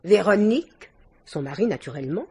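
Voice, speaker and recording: A woman's voice: lowish voice, lively intonation, brisk, mellow, breathy edge, exaggerated voice. An actress playing a worldly, domineering older society woman in a vintage French radio drama, theatrical and effusive with little laughs. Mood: mannered, charming.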